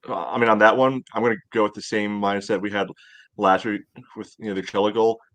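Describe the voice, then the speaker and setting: A man with a fairly low voice analyses sport casually as a co-host. His phrasing is halting and conversational, and the sound is dry and close. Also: little pitch movement, fairly bright tone, no room sound. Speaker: podcast co-host.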